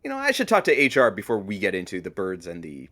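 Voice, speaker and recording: An actress playing Frank, a radio producer sidekick, with a dry, brisk, teasing way of talking. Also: female voice, a bass voice, wildly swooping pitch, a bit bright, heavily acted. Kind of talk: scripted fiction podcast banter.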